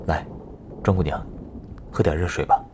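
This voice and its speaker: A man playing a gentle, caring village schoolteacher who pleads earnestly in a Chinese period audio drama. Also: very deep voice, fairly even intonation, moderate pace, noisy background. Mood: reassuring.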